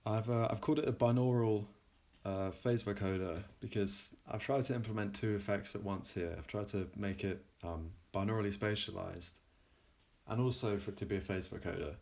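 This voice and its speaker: A man with a low voice narrates an informal technical demo as the developer. He is hesitant, often pausing halfway through a sentence before carrying on with the explanation. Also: fairly even intonation, vocal fry, darkish tone. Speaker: plugin developer presenting a demo.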